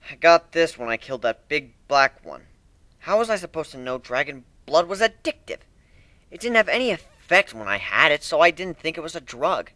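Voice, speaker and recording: A man's voice: fairly high pitch, sing-song, normal speed, sharp tone, no echo, pitched above the performer's own voice. A man voicing a brooding, stubborn male griffin captain, grumbling earnestly in a dramatic story reading. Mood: defensive.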